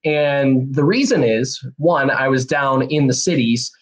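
A young man, hosting a solo podcast in a rambling, energetic way, a bit hoarse and nasal from a cold. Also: medium pitch, measured pace. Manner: rambling, energetic, many fillers and restarts. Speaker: podcast host. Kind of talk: casual solo podcast talk.

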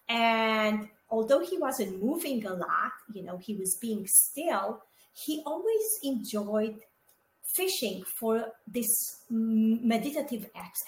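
A middle-aged woman from Romania, co-hosting a podcast in a chatty, casual way, with many fillers and asides to her listeners. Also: a little high. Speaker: podcast co-host. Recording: echoey room with faint background noise.

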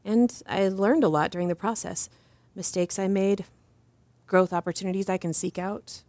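A woman playing an earnest, principled doctor who answers interview questions in a formal, precise and measured way. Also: mid-range voice, fairly even intonation, a little mellow, no echo, lightly acted. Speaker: interview guest. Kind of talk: scripted drama interview.

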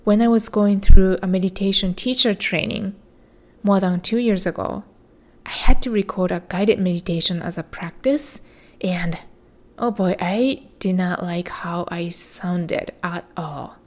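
Solo podcast host, woman, soothing and measured, slow unhurried monologue. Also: fairly low pitch.

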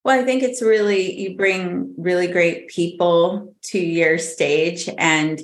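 Female interview guest, fluent and upbeat, lowish voice, echoey room. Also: steady tone, slow. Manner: fluent, upbeat, laughs often. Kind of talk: casual interview.

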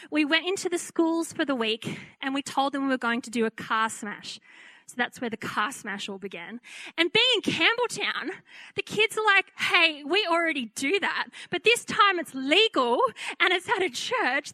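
A woman preacher with a high, bright voice tells her story with lively, animated delivery. She slips into the voices of the people in her story as she goes. The sound is close and dry. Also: no room sound. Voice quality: fairly high-pitched. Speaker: pastor. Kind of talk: testimony sermon.

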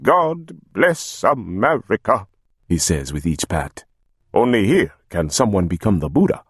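Low, husky immigrant storyteller. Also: male voice, sing-song, unhurried, no echo, clean studio sound, heavily acted. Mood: wry affection. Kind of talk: literary audiobook narration.

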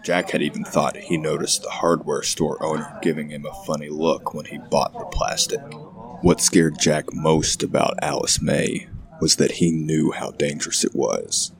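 A man narrating a scripted horror story in a gravelly, ominous, measured way. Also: extremely low pitch, fairly even intonation.

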